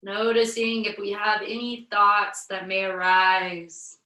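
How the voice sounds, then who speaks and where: A woman yoga instructor with a slight head cold, guiding a virtual class through breathing in a slow, soothing way. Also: medium pitch, fairly even intonation, bright, forceful tone, echoey room, far from the mic, low-quality recording.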